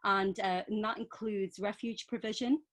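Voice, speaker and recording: Geordie lady on a video call panel, animated and brisk, middle pitch. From North East England. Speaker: panelist from a women's centre. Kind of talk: panel talk.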